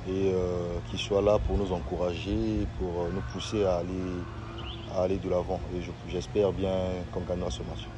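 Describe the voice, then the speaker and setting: A man in his twenties with a Congolese accent, a footballer answering casually and haltingly in an outdoor interview. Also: low voice, level tone. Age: 20s. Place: outdoors by a hotel pool. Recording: clear outdoor traffic noise.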